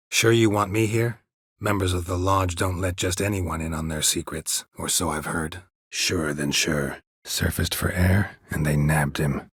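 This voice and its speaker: A man voicing a gruff, weary monster hunter in a fantasy video game, with a husky rasp and terse, deadpan, sardonic sentences. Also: rumbling low, no room sound, heavily acted. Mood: doubtful. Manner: terse, clipped, deadpan sentences with dry sarcasm.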